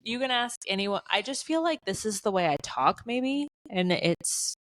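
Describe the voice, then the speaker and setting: A young adult woman co-hosting a podcast in casual chat, speaking in a flat, monotone way. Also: mid-range voice, moderate pace, no room sound. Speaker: podcast co-host.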